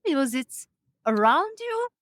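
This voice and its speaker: A woman from Morocco hosting a podcast, interviewing conversationally in a halting, discursive and affirming way. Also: high-pitched, big pitch swings, a bit bright, no room sound, studio quality. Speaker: podcast host.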